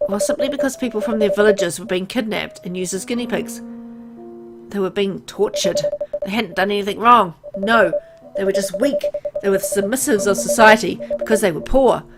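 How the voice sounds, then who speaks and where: A woman voicing an embittered, world-weary immortal man in a visual novel, explaining things gravely. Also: medium pitch, natural voice. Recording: loud music behind voice.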